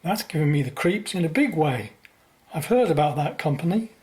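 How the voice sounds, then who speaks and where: Earnest young son for radio play, woman acting, lower register, very lively intonation, self-sacrificing. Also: normal speed. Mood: concerned.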